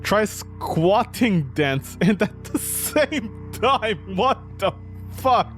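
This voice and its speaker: Game streamer, very animated intonation, slow pace, incredulous, theatrical, reading aloud and commenting, background music. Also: medium pitch. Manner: exasperated, incredulous, theatrical reactions. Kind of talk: gaming commentary, reading visual novel text aloud and reacting.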